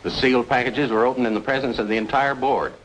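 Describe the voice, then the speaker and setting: A man's voice: mid-range voice, fairly even intonation, quick pace, slight background noise, lightly acted, low-quality recording. An actor playing a haughty older committee chairman, formal and curt, in an old-time radio drama. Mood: affronted, firm.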